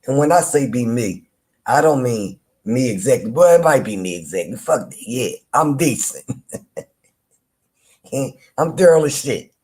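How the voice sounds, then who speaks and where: A man with a deep voice, hosting a live chat room and teasing rivals in loose, playful banter with frequent laughter. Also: very animated, unhurried, a little mellow. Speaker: live audio-room host. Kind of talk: casual trash-talking chat to listeners.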